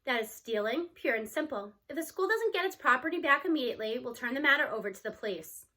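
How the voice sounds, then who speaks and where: A woman performs a stern female principal in a children's storybook, making a formal announcement under stress. The voice is high, bright and a little shrill. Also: animated, fast talker, exaggerated voice. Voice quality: slightly shrill.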